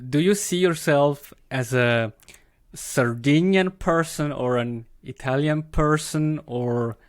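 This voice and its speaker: A man's voice: a little high, slow pace, dry recording, clean studio sound. A man hosting a podcast, asking his guest questions. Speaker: podcast host. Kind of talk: interview questions.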